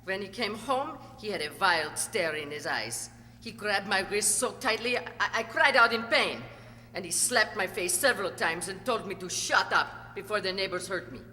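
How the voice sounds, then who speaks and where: A woman playing a cold, accusing witness in an amateur radio courtroom play, dramatic and deliberate, in a reverberant hall. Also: mid-range voice, bright, forceful tone, close to an everyday voice. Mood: accusing, grave. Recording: reverberant hall, faint noise and hum.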